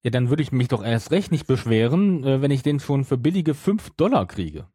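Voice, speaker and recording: A man's voice: mid-range voice, very animated, moderate pace, dark tone, husky, no room sound, clean studio sound. A man hosting a podcast, chatting casually in an argumentative, ironic way. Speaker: podcast host. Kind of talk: casual chat.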